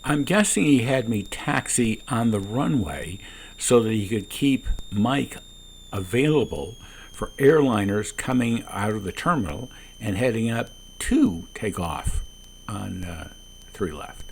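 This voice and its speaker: A man who is a pilot, giving calm explanatory commentary on his own flight from the cockpit of a small plane. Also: on the low side, unhurried, vocal fry. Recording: noisy small-plane cockpit, radio transmissions.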